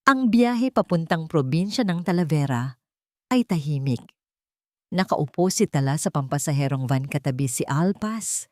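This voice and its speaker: Filipina storyteller lady, lowish voice, reading a dramatic romance script, super dry recording. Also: animated, moderate pace, mellow, no room sound.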